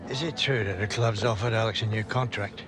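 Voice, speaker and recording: A man's voice: fairly low pitch, fairly even intonation, brisk, noisy background, lightly acted. A man playing a fond old ex-footballer. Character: proud, kindly old ex-footballer grandfather. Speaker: former footballer and grandfather. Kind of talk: video game cutscene acting. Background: strong background music.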